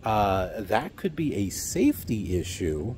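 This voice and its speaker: Deep, slow-talking middle-aged man outdoors. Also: very animated, a little mellow, slight background noise. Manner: sarcastic, rambling, many fillers. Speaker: trucking vlogger. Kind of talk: casual outdoor vlog rant. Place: outdoors by a block wall. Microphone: phone at arm's length.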